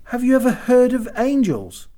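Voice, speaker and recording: A storybook narrator voicing a tiny, courteous, excitable little magical king with a quaint, exclamatory way of talking. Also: medium pitch, normal speed, pitched above the performer's own voice, close to an everyday voice. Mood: astonished, explaining. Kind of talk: children's storybook character voice.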